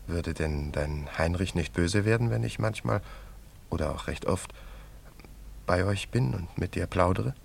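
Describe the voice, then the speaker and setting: An actor in a radio drama playing a young travelling painter, friendly, teasing and coaxing. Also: male voice, sits low, unhurried, creaky, heavily acted. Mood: curious, gentle. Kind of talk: German radio drama acting.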